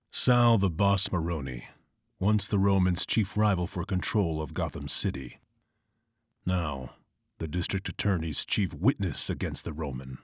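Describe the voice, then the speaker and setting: A man's voice: deep voice, slightly rough, dry recording, clean studio sound. A man voicing a grim, brooding vigilante detective who narrates in terse, clipped lines. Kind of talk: comic narration.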